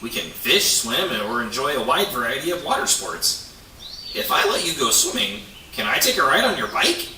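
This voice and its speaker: A man's voice: mid-range voice, sing-song, moderate pace, bright, forceful tone. A man voicing an eager, easily confused beaver in a children's puppet show, with an exclaiming, questioning way of talking. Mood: cheerful. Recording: echoey, distant mic, hissy with birdsong.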